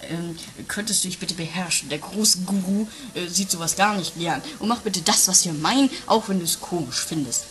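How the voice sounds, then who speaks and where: A woman playing an earnest, slightly pompous instructor who speaks in a halting, placating way in an improvised comic radio play. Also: on the low side, a bit bright, natural voice.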